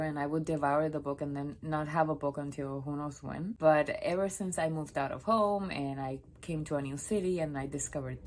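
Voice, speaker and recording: Young woman, Spanish native from Puerto Rico, deep chatty vlog voice, meandering and self-correcting. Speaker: book vlogger. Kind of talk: casual talk to camera. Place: home office, sitting on the floor.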